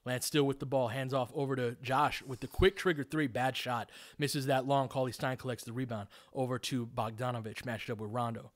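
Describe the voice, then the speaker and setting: A man delivers live basketball commentary in a middle-of-the-road pitch. He uses short, clipped phrases, mixing play-by-play with informal, analytical breakdowns of the action. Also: fairly even intonation, fairly quick, few pauses.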